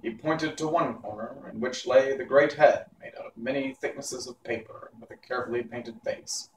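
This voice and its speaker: A man's voice: medium pitch, steady tone, normal speed. A man narrating a children's novel aloud as an amateur storybook reader. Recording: roomy echo, distant mic, faint noise.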